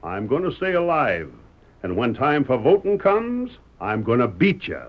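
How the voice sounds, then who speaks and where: A man's voice: medium pitch, wildly swooping pitch, a little slow. An actor playing a stubborn middle-aged frontier father in a radio western, with a wry, folksy Western American drawl. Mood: wry, defiant. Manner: folksy, sly, drawling needling. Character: stubborn, wry Old West father, sheriff candidate. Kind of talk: old-time radio western acting. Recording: dull, muffled old radio recording.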